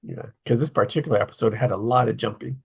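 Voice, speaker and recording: Slightly husky man hosting a casual chat. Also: mid-range voice, fast talker, a little mellow. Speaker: host of a table read discussion. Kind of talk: casual online group chat.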